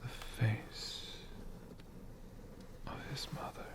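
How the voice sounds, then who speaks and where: A storyteller whispering a tale for ASMR in a hushed, breathy voice with slow, dramatic pauses. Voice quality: breathy whisper with creaky edges.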